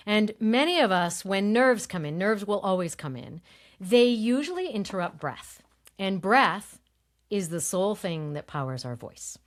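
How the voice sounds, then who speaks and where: Woman, voice coach, higher voice, varied pitch, explanatory, interview talk. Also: expressive, measured pace. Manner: explanatory, demonstrates tones with her own voice. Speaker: voice coach guest. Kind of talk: conversational interview, demonstrating voice techniques.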